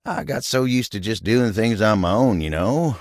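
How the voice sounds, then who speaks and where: An actor voicing a gentle, folksy living table in a video game, with a gravelly American Southern drawl and a humble, earnest manner. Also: male voice, fairly low pitch, expressive, normal speed, no echo, clean studio sound, close to an everyday voice. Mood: shy, grateful. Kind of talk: video game character voice acting.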